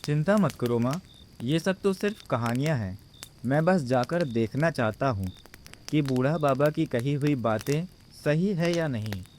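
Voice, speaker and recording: A man's voice: medium pitch, animated, measured pace, darkish tone, slight background noise, lightly acted. A man voicing a bold, skeptical young villager with a confident manner in a cartoon story. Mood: reassuring. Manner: confident, dismissive.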